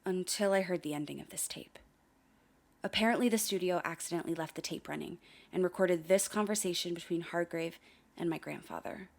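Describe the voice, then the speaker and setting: A woman's voice: lowish voice, brisk, creaky. An actress playing a shaken but determined young woman, telling her story in earnest, confiding first-person narration for an audio drama. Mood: confiding, grave.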